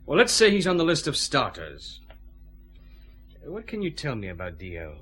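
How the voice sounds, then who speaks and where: An actor playing a shrewd, steady chief inspector who puts probing, businesslike questions in an audio drama. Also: male voice, medium pitch, moderate pace, soft background music. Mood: businesslike, dry. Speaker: actor in a radio detective drama.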